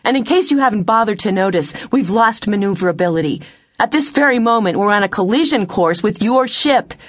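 A woman voicing a fiery, sharp-tongued female starship captain in a space audiobook, forceful and sarcastic. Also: mid-range voice, animated, normal speed, pitched above the performer's own voice, natural voice. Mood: furious. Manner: forceful, sarcastic, shouting orders.

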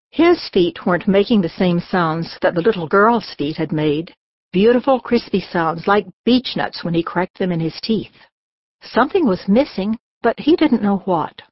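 A woman's voice: mid-range voice, dry recording. Woman narrating a children's story, muffled recording. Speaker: audiobook narrator. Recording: dull, lo-fi audiobook sound.

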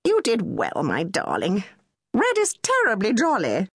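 A woman's voice: medium pitch, wildly swooping pitch, measured pace, slightly rough, no room sound, voice pushed up, exaggerated voice. Woman reader doing a frank, jolly upper-class older lady, plummy and blunt, recorded in a dry, dead room. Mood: breezy.

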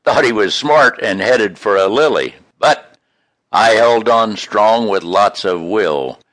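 A man reading his own poems in an expressive, folksy, homespun way, with casual introductions between them. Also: fairly low pitch, takes it slowly, dry recording. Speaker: poet reading own work.

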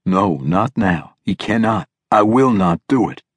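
A man playing an ancient, courteous old man who speaks gravely, formally and deliberately. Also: on the low side, lively intonation, no echo. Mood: grave refusal. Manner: formal, deliberate, polite, no contractions.

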